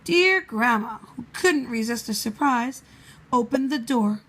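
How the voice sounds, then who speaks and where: A woman narrating a children's storybook in a measured, expressive way. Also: fairly high pitch, rough recording.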